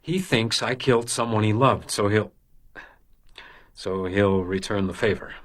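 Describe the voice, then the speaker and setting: A man's voice: fairly low pitch, measured pace, a bit bright. A man playing an anxious, driven house detective in a radio crime drama, talking in urgent, clipped phrases. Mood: grim, explaining.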